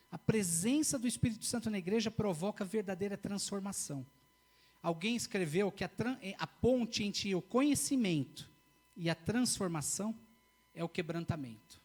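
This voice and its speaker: A man preaching a sermon in church, earnest, repeating key phrases and often restarting mid-sentence. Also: high-pitched.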